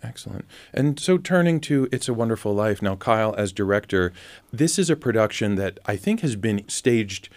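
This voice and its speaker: A man hosting a conversational interview, asking measured, inquisitive questions as he goes. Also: lowish voice, expressive, darkish tone, no echo.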